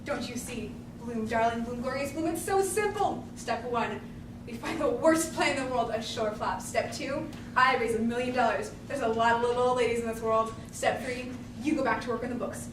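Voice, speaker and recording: A scheming, grandiose male producer from a stage comedy played by a woman, pitched high and full of theatrical bluster. The delivery is cajoling and overbearing. It is captured at a distance in an echoey space with clear background noise. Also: normal speed, close to an everyday voice. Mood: scheming, elated. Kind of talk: amateur stage comedy acting.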